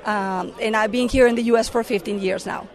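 Middle-aged woman from Mexico, Mexican accent, fairly high voice, earnest interviewee who pauses and restarts. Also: fairly even intonation. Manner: earnest, with frequent fillers and restarts. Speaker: corporate affairs director. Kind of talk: conference interview answers.

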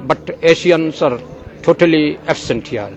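An older man from Bangladesh answers interview questions outdoors with slow, earnest conviction. His voice sits a little high for a man, and he lingers on words as if preaching. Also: dull audio. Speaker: agricultural journalist, guest.

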